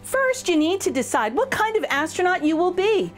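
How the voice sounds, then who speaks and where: A woman reading a picture book aloud for children in an expressive, encouraging way, addressing young listeners directly with clear emphasis. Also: very high-pitched, normal speed, soft background music. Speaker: children's storytime reader.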